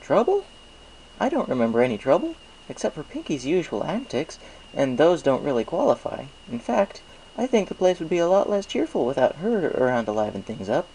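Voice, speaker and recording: A chatty cartoon pony baker as a man narrator acts him, pitched high and cheerful. He talks like an eager, folksy shopkeeper. Faint noise sits behind the voice. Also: very animated, brisk, vocal fry, warm tone, low-quality recording. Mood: chatty, helpful.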